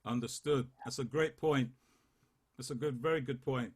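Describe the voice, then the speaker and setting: A man leading a choir rehearsal, coaching the basses and singing short phrases to demonstrate. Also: breathy edge. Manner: unhurried, sings phrases to demonstrate. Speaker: choir leader.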